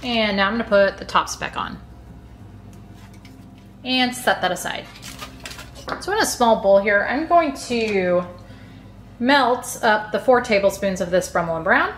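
A woman's voice: medium pitch, lively intonation, unhurried, long pauses, a bit bright, roomy sound. A woman hosting a home cooking channel, talking casually and chattily as she walks through a recipe step by step. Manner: chatty, friendly, easygoing explanations. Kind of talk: casual recipe walkthrough voice-over.

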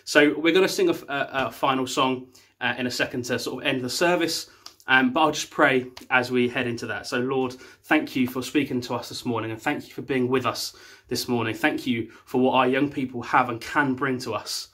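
A man's voice: mid-range voice, steady tone, fairly quick, bright, forceful tone. A young man, a youth worker, giving earnest closing remarks and a prayer from a small room at home, with fillers and restarts.

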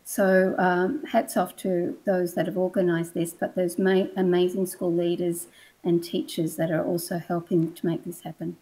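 A woman panelist speaks in a measured, formal way with little rise and fall. Her tone is dark and mellow. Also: lowish voice, little pitch movement, rough recording. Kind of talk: panel discussion.

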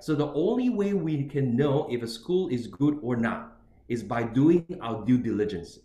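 A man's voice: mid-range voice, darkish tone, roomy sound. A middle-aged man from Singapore, giving a webinar-style presentation as an international student advisor and often ending points with "all right?". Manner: repetitive tag questions, emphatic. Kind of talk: webinar presentation on choosing universities. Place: indoors with a virtual background.

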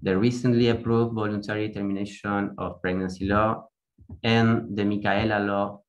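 Low-voiced speaker with an Argentine accent. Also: little pitch movement, moderate pace, poor audio. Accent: Argentine Spanish. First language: Spanish. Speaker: activist speaker. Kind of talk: prepared conference talk. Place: small home room with bookshelves. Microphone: earphone mic on a video call.

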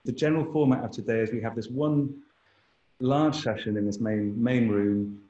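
Guy in his 40s opening an online event, mellow voice, echoey room, informal. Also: mid-range voice, moderate pace. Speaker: event organizer opening the session. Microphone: computer mic on a video call. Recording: echoey room, dull video call audio.

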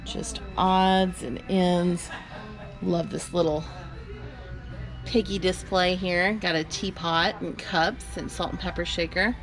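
A woman's voice: lowish voice, fairly even intonation, slow, faint music behind, low-quality recording. A woman giving a chatty, upbeat walk-through of her booths in a large indoor antique mall, recorded on the camera she carries. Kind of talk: walk-through tour vlog.

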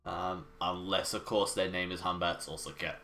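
A man's voice: deep voice, little pitch movement, normal speed, gravelly, sharp tone, slight background noise. Nasal, stuffy-nosed young man gaming. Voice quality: nasal from a blocked nose. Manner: sarcastic, swears casually, trails off and restarts. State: sick with a cold. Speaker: gaming video host. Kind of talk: casual game commentary over menus. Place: home room with wooden floors.

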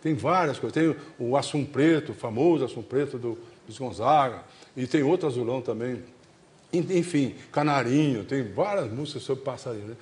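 A man's voice: mid-range voice, moderate pace, darkish tone. A man in his seventies with a Brazilian Portuguese accent, hosting a casual, folksy chat in a rambling, repetitive way full of diminutives. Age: 70s. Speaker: television show host. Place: TV studio stage before an audience. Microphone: lapel mic.

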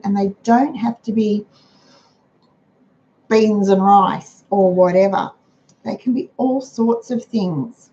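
An older Australian woman with a hoarse, croaky voice, hosting a chatty livestream from her home dining room over a webcam. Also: warm tone. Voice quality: chatty, homely manner. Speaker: host of a frugal living livestream. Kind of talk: casual livestream talk. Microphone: webcam on a computer. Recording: dull low-fi webcam sound with faint noise.

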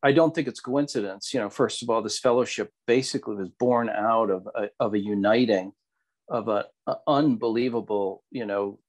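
A man co-hosting a podcast in casual, reflective discussion, halting as he searches for words. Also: fairly low pitch. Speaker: podcast co-host.

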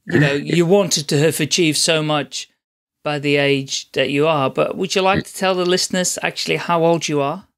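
A man hosting a podcast interview, speaking in a measured, encouraging way with some fillers. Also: fairly high pitch, steady tone, a bit bright. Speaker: podcast host.

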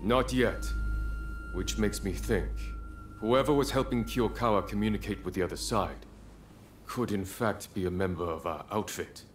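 An actor playing a stern, measured samurai commander in a video game cutscene. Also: male voice, fairly low pitch, slight background noise, lightly acted. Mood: grave.

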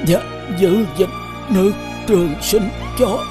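Dying old fairy-tale king, slow and halting, resigned, music underneath. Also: high voice. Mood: weak, resigned. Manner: halting last words. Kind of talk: animated fairy tale acting. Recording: music behind the voice.

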